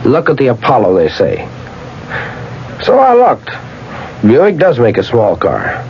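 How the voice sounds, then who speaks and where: An actor playing a plainspoken, proud car owner in a 1970s radio commercial, conversational and emphatic. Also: male voice, mid-range voice, wildly swooping pitch, measured pace. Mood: skeptical, then satisfied. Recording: muffled old radio with faint hiss.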